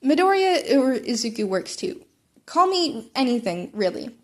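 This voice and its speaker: A woman's voice: high voice, very animated, measured pace. A woman voicing an earnest, analytical teenage boy training as a hero, hesitant and rambling as he talks, in a fan fiction reading. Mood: awkward, earnest.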